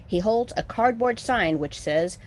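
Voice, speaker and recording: Female narrator for stage directions, medium register, animated intonation, scripted play reading. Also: mid-range voice, measured pace.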